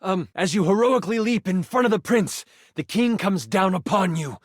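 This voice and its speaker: A theatrical game master with a fairly high male voice. He narrates fantasy adventure with suspense and weighted pauses, recorded close and dry. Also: lively intonation, breathy edge, no echo. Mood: suspenseful, excited. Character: living twenty-sided die, dramatic male game master. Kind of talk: dramatic tabletop fantasy narration.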